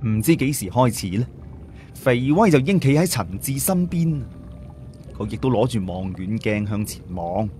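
Male audiobook narrator, dramatic and animated delivery, faint background noise. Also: medium pitch, normal speed. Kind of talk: dramatic scripted story narration.

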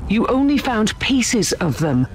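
A woman's voice: on the high side, big pitch swings, a little slow, background noise. A woman voicing a wry, sarcastic starship captain in a video game, speaking expressively. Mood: incredulous. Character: wry, sarcastic starship captain, loyal but self-interested.

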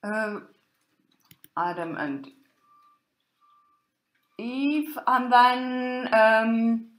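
Woman lecturer, unhurried, pausing often, roomy echoey recording. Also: on the high side. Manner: hesitant, many fillers and pauses. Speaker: university lecturer. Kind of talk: recorded lecture over slides. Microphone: recorded voice-over for slides. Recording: roomy echo.

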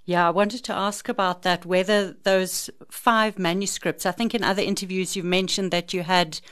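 A woman's voice: on the low side, fairly even intonation, moderate pace, a bit bright, smooth, dry recording, studio quality. A woman, interviewing a guest conversationally as a podcast host.

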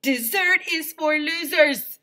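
A woman's voice: sits high, slow pace, pushed and bright, pitched above the performer's own voice, exaggerated voice. A woman voicing a stern, bullying male doctor in a storybook, barking scornful commands like a drill sergeant.

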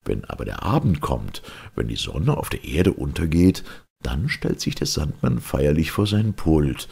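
A man with a gravelly, husky voice narrating a children's fairy tale as an audiobook reader, in an expressive, measured storytelling way. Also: a bass voice.

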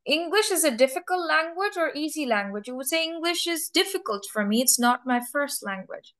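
A woman's voice: high-pitched, lively intonation, brisk, fairly bright tone. A young woman teaching English, who runs a mock speaking test and patiently repeats her questions and key words. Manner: patient, coaxing, repeats words many times. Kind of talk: slow, repetitive test questioning.

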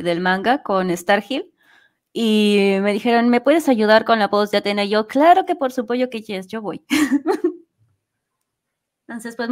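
A woman with a Latin American Spanish accent chatting playfully as an interview guest. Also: mid-range voice. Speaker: guest, amateur voice actress. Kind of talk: casual interview chat.

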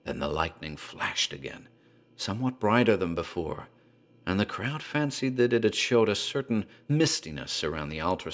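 A man narrating a horror story in a grave, measured storytelling manner. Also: low-pitched.

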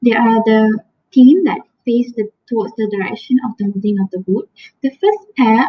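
A woman's voice: fairly high pitch, animated, moderate pace, faint music behind. A young woman, a student presenting a class lesson over slides, explaining carefully with some restarts on a laptop webcam. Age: young adult. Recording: dull lo-fi webcam audio.

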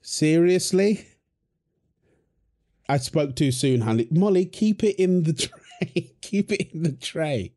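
A man's voice: fairly high pitch, slow pace, dark tone. A man performing a wholesome audio roleplay as a husband and dad, teasing his partner and cooing fondly at their baby with a playful manner.

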